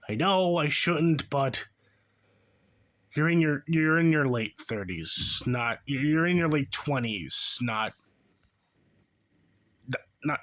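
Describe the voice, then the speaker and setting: A man's voice: mid-range voice, fairly bright tone. A man in his twenties hosting a reaction video, giving sarcastic, exasperated commentary that mocks the person in the clip. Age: 20s. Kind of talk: mocking commentary over a clip.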